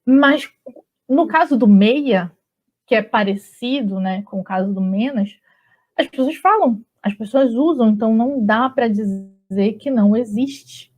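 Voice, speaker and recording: A woman's voice: a little high, unhurried. Chatty Brazilian woman teaching grammar. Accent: Brazilian Portuguese. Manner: relaxed and chatty, with frequent tag questions. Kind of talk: conversational online grammar lesson. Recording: slightly dull lo-fi audio.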